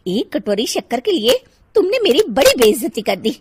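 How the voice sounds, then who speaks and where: A patient neighbor woman who stays calm and polite, voiced by a woman. Her voice is high and lively. She is a cartoon storybook character. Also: normal speed, slight background noise. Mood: pleading.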